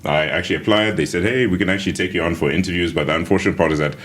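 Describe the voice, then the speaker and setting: A man in his thirties from Zambia, a tech founder answering interview questions in a relaxed way, with fillers and chuckles. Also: low voice, very fast.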